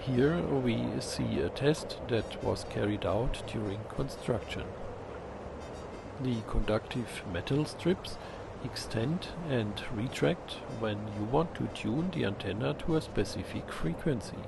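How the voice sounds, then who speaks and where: Slow, creaky-voiced man narrating. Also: mid-range voice, very noisy. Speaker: host of a ham radio electronics channel. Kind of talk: scripted explanatory narration.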